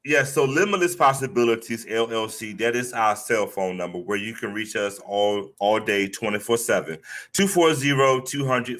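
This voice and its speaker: A man's voice: medium pitch, a bit bright. A man with a stutter speaking as a guest therapist, earnest, proud and emphatic in a motivational way.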